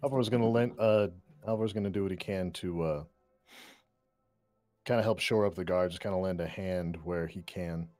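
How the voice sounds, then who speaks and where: A man's voice: sits low, moderate pace, a little mellow, no echo, faint music behind. A man casually describing his character's plans in a tabletop game, searching for words as he goes.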